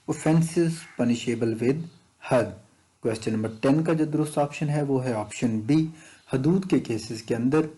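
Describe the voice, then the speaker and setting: A man's voice: on the high side, mellow, low-quality recording. A man with a Pakistani accent teaching as an exam tutor, methodically reading out questions and explaining answers, switching between two languages.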